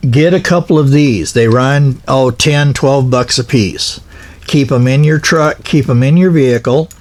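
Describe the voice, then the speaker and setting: A man with a medium-pitched voice gives informal, practical instruction. He speaks in a folksy, unscripted way, wandering through his points. His delivery halts often as he backs up and rephrases. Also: darkish tone. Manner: rambling, folksy, halting with self-corrections. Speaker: pilot car operator giving equipment advice.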